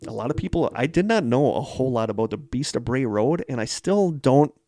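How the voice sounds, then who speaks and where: A man's voice: mid-range voice, expressive, fairly quick, dark tone, dry recording. A man from Wisconsin with an American accent, co-hosting a podcast and moving between casual chat and reading out prepared notes. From Wisconsin, United States. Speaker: podcast co-host.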